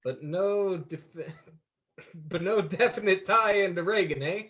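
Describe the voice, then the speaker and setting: Male actor as shrewd sleuth, radio play: slightly high voice, composed, commanding, dull lo-fi sound. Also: a little slow, a bit bright. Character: composed, shrewd amateur sleuth hunting a spy. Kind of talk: old-time radio drama acting. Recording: dull lo-fi recording.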